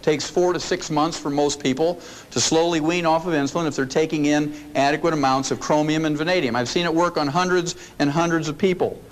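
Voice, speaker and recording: A man's voice: fairly high pitch, steady tone, a little fast, few pauses, poor audio. An older man giving a folksy, joking seminar talk to an audience in a meeting room. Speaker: lecturer.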